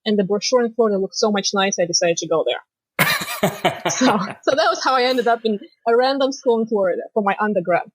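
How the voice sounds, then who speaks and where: A woman from Moldova with a Russian accent, native Romanian speaker, telling her story as an interview guest with lively storytelling. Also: mid-range voice, quick pace, no room sound, low-quality recording. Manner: lively storytelling, laughs easily. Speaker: guest, financial planner. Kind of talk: casual interview chat.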